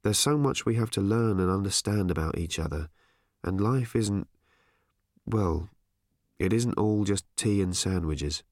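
Man doing a hesitant kind dad, soft and fumbling, very dry close sound. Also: low voice, animated, moderate pace, hardly any pauses, no room sound. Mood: gentle. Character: flustered, well-meaning man, out of his depth.

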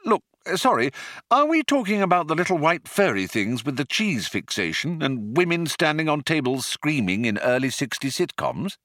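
Man voicing a bewildered Earthman, highly animated pitch. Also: no room sound, clean studio sound. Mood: exasperated. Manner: halting, incredulous, plaintive. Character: bewildered, exasperated ordinary Earthman, stunned and incredulous. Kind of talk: audiobook character voice.

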